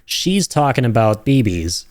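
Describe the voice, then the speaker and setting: A man giving casual reaction commentary, rambling and thinking aloud with some excitement. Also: medium pitch, unhurried, no room sound, studio quality. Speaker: video creator reacting to game trailers.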